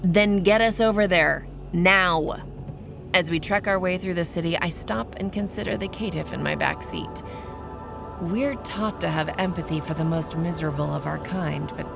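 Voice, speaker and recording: A woman's voice: low voice, normal speed, vocal fry. An actress playing a pushy, foul-mouthed young female vampire in an audio drama, sardonic and commanding as she acts and narrates. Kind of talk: audio drama acting with first-person narration. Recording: music behind the voice.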